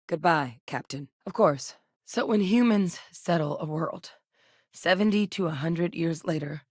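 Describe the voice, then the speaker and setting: A woman voicing a seasoned, earnest female soldier and xenobiologist in studio video game dialogue, measured and sincere. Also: sits low, no echo. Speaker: game character voice actor. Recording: dry studio recording.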